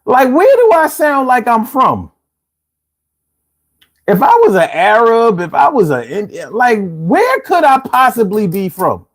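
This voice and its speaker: A man's voice: very high voice, wildly swooping pitch, a little slow. A man hosting a casual live stream, reading out viewer comments with laid-back, chuckling talk, from the United States.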